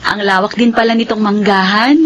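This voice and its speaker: A woman playing a gentle young city woman who longs for a simple life, in a Filipino radio drama. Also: mid-range voice, steady tone, fairly quick, very noisy, natural voice. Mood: friendly, curious.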